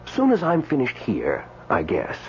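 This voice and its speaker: An actor playing an indignant, newly dead man in a radio drama, speaking with hesitant stammers and dramatic pauses. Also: medium pitch, huge pitch swings. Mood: hesitant, bewildered. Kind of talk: radio drama acting with first-person narration. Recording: dull radio broadcast, faint mains hum.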